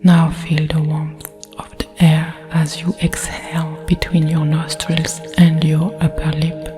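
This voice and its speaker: A woman with a French accent whispers a guided meditation. Her delivery is slow, breathy and very even, measured and soothing, with soft music behind her. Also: low voice.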